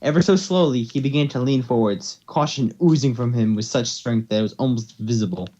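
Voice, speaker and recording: A man's voice: medium pitch, a little fast, warm tone. Man narrating a story, slightly dull recording. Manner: stumbles over words while reading. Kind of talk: amateur fan fiction narration.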